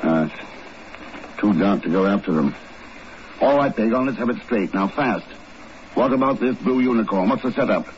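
A man's voice: on the low side, normal speed, long pauses, lightly acted. An actor playing a shrewd, self-assured secret agent in a 1950s radio drama, dry and commanding as he probes. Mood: brisk, probing. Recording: dull old radio recording, faint noise.

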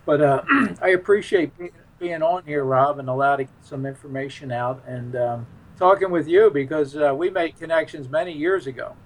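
A man with a fairly high-pitched voice talks casually as an interview guest. His delivery is halting and unscripted, as he searches for the right words. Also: rough recording. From United States.

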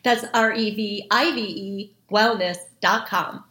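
A woman's voice: mid-range voice, fairly even intonation, takes it slowly, pushed and bright. A woman hosts a podcast in an upbeat, friendly way. She chats casually and drops in everyday fillers as she talks. Her voice sits in a middle register. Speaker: podcast host. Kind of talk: casual interview chat.

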